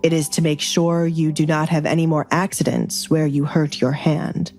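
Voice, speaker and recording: A woman's voice: sits low, fairly even intonation, moderate pace, a little mellow, soft background music. A woman voicing a dangerous nobleman duke in an audio romance, formal, commanding and dryly teasing. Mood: composed, formal. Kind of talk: dramatized audio romance dialogue.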